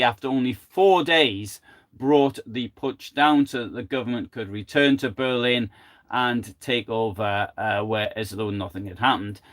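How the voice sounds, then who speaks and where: A man's voice: mid-range voice, fairly bright tone. An older man giving a live, unscripted history talk from home, thinking aloud with many fillers and restarts. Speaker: history presenter.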